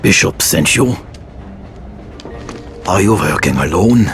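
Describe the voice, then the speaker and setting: A man's voice: low voice, expressive, takes it slowly. A man playing a menacing, sinister impostor in a video game cutscene. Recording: music behind the voice.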